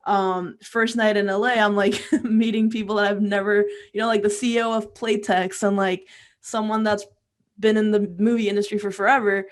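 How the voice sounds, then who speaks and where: A woman's voice: mid-range voice, flat delivery, moderate pace. A young woman from Peru and Florida chatting informally as an interview guest, often saying "like" as she tells her story. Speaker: interview guest, music career coach.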